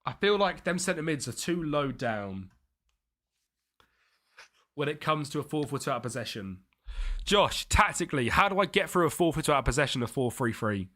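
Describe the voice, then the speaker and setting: A young man streaming a football management game live, chatting with viewers and thinking his tactics through out loud. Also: fairly high pitch, animated, moderate pace, long pauses. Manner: chatty, thinks aloud, reads out chat messages.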